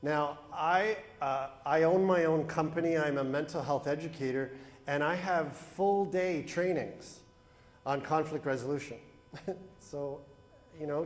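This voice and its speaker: A man with a big, carrying voice addresses a room full of people from a handheld microphone, pitched slightly high for a man. He speaks as a mental health educator, calling out to the crowd and drawing them in as he talks. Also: reverberant. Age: young adult. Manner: loud, booming, engaging the crowd. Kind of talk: motivational talk to an audience. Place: event hall.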